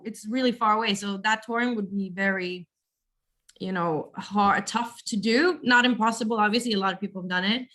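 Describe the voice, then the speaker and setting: A young woman with a naturally raspy voice talks as a guest in a casual interview. She hesitates, restarts and fills gaps with "like" and "you know". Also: medium pitch, a bit bright. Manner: casual, hesitant, laughs often. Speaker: band vocalist, interview guest.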